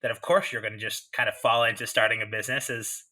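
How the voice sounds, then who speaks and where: A chatty male podcast host in his thirties, speaking in a very bright, forward voice. He talks loosely and informally and strings several questions together at once. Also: lowish voice, fairly even intonation, quick pace. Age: 30s. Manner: chatty, loose, asks long multi-part questions. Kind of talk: casual interview chat.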